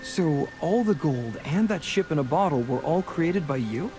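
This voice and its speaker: A man's voice: on the high side, animated, moderate pace, music in the background. A man voicing a straightforward, curious young adventurer in a scripted video game.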